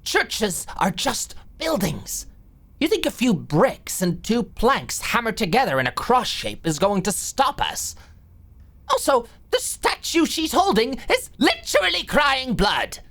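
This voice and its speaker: A woman's voice: fairly high pitch, big pitch swings, a little slow, fairly bright tone, full character voice. A woman playing a haughty, exasperated vampire doctor on trial in an ASMR roleplay drama. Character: indignant, menacing female vampire doctor on trial.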